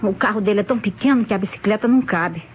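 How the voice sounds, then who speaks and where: An actress playing a polite housemaid in an old radio mystery, answering respectfully and a little hesitantly. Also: female voice, mid-range voice, racing, natural voice. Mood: hesitant, helpful. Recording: muffled, hissy old radio recording.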